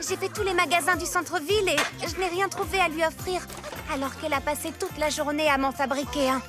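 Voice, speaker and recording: A woman's voice: high-pitched, steady tone, brisk, music in the background, lightly acted. A woman voicing an anxious, devoted little sister in a dubbed children's cartoon, earnest and fretful. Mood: worried.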